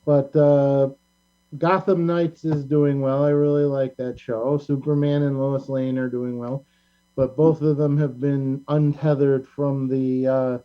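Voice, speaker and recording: A middle-aged man hosting a casual panel discussion, talking in a rambling, halting way. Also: mid-range voice. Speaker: talk show host. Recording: muffled lo-fi audio with mains hum.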